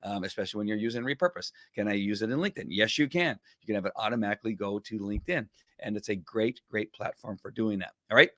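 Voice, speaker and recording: A middle-aged man with a fairly low voice hosts a live tutorial from a small home studio. He talks casually into a boom mic, rambling with plenty of ums and restarts. The sound is close and dry. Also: fast talker, a bit bright, no echo. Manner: chatty, rambling, many fillers and restarts. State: recovering from losing his voice. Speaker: host of a live video show. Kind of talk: casual screen-share tutorial. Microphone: studio mic on boom arm.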